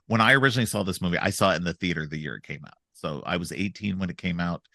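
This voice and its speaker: A man's voice: low-pitched, rapid-fire, creaky, bright, forceful tone, no room sound, clean studio sound. A middle-aged man hosting a casual film podcast, stuffed up from a cold, rambling with frequent restarts. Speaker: podcast host.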